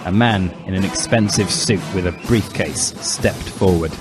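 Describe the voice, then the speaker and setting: A man's voice: low voice, moderate pace. A man narrating a comic story as a dramatic reading, with solemn, overwrought emphasis. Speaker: narrator, reader. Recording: loud music behind the voice.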